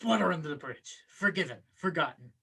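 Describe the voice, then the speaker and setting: Man playing an odd, husky long-lost brother. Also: on the high side, animated, brisk, pushed and bright, heavily acted. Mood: guarded. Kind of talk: table read of a comedy film script.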